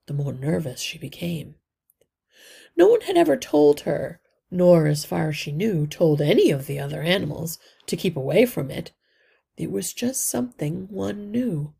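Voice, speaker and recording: A woman reads a children's story aloud expressively, with deliberate phrasing that drops into hushed line endings and a husky, breathy voice. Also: on the low side. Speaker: read-aloud podcast narrator.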